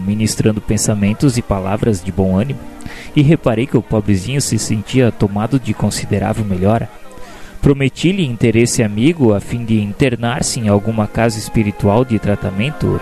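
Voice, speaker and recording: A man's voice: lowish voice, mellow, close to an everyday voice. A man playing a humble, heartfelt spirit helper who narrates solemnly in a religious radio drama. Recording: music bed behind narration.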